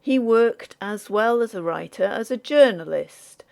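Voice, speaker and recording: Female audiobook narrator for Victorian ghost story; unhurried, measured, with pronounced vocal fry. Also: fairly high pitch, animated, darkish tone.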